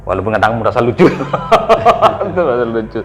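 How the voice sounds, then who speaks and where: A man hosting a casual podcast interview, speaking with many fillers and giving soft hums of agreement. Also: medium pitch, very animated, a little fast, a little hiss or hum. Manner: frequent fillers, quiet hmm backchannels. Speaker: podcast host, editor.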